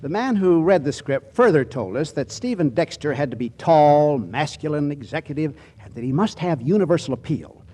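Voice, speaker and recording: Animated, higher-pitched 1940s male radio host. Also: moderate pace, few pauses. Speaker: host and narrator. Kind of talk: 1940s radio scripted introduction. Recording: vintage 1940s radio broadcast.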